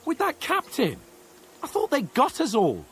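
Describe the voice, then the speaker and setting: A man's voice: very high voice, wildly swooping pitch, a little slow, a bit bright, slight background noise, full character voice. An actor playing a proud, bossy young nobleman in a game cutscene, with a scolding, commanding way of talking. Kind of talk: video game cutscene acting.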